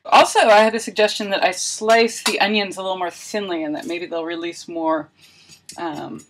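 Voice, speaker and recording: A woman's voice: fairly low pitch, steady tone, moderate pace, a little hiss or hum, low-quality recording. A woman video blogger talking casually and thinking aloud, with wry, self-deprecating humour. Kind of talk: casual chatty vlog narration of a homemade experiment.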